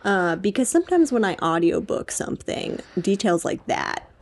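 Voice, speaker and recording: A woman's voice: mid-range voice, sing-song, normal speed, creaky, slight background noise. A young woman chatting as a podcast co-host, informal and playfully emphatic in the way she talks. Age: young adult. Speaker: podcast co-host and PhD student. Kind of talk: casual podcast chat.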